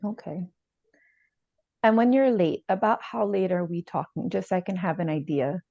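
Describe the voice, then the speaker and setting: Calm female therapist, acted by a woman: measured, lowish, creaky voice, slightly muffled recording. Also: no room sound. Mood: calm, probing. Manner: measured, tentative, reflecting back. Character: calm, probing female therapist leading a session. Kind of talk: improvised therapy role-play.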